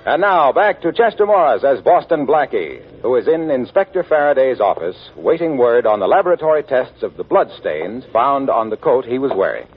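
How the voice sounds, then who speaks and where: Animated, slightly husky male radio announcer. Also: mid-range voice, moderate pace, hardly any pauses, faint music behind. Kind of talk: 1940s radio announcing, scripted sales pitch. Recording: muffled old radio recording.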